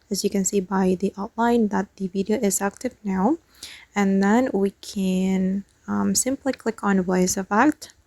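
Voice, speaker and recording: A woman's voice: medium pitch, a little mellow, dry recording. A woman hosting a step-by-step how-to tutorial as a spoken voice-over. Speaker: tutorial host.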